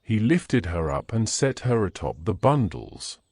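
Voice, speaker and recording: Male narrator for fantasy fiction: unhurried, very expressive intonation, dry close sound. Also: on the low side, no echo. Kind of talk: scripted storytelling narration.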